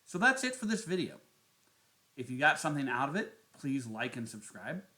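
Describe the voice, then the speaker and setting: A man narrating a step-by-step software tutorial as its host. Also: medium pitch, very animated, normal speed.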